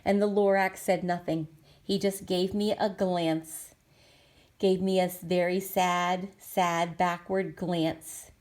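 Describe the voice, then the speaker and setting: A woman's voice: on the low side, level tone, slow pace, a little mellow. A woman playing a stubborn male factory owner from a storybook, telling his tale in emphatic, rhythmic rhyme. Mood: regretful.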